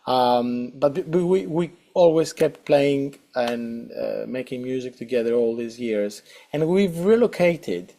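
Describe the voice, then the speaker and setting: A man's voice: medium pitch, animated, unhurried, few pauses, dark tone. A middle-aged man with a Greek accent, answering interview questions hesitantly with many fillers and restarts. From Greece. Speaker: guest saxophonist.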